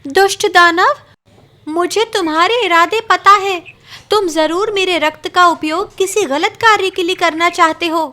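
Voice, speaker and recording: A woman voicing a distressed, gentle fairy mother in a cartoon story, speaking in a tearful, pleading way. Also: very high voice, a little slow, voice pushed up, full character voice. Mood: defiant.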